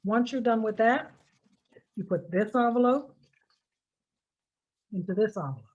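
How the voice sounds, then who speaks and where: A woman's voice: on the high side, a little slow, darkish tone, breathy edge, muffled recording. Emphatic American woman giving step-by-step instructions. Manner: emphatic, repeats key points for stress. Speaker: presenter of a voter instruction video. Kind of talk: informal step-by-step public instruction.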